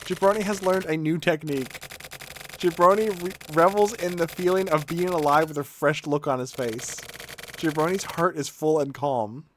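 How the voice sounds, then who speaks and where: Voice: medium pitch, fairly bright tone, a little hiss or hum. A video game streamer reading on-screen game text aloud in a theatrical manner during a livestream.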